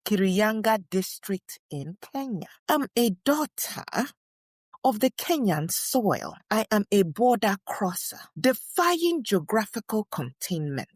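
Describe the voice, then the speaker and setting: A woman's voice: medium pitch, very animated, dry recording, clean studio sound. Woman playing a proud, declamatory elder woman scholar. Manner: deliberate, declamatory, emphatic repetition. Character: dignified, proud elderly Kenyan woman writer and Pan-Africanist scholar. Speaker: co-host in character. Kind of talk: first-person dramatic monologue, read aloud.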